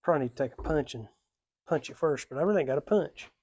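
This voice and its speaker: A man mutters to himself as he works at a bench in a workshop. His voice is a little high for a man but mellow and warm in tone. He is some way from the camera. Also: animated, fast. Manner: mutters to himself while working.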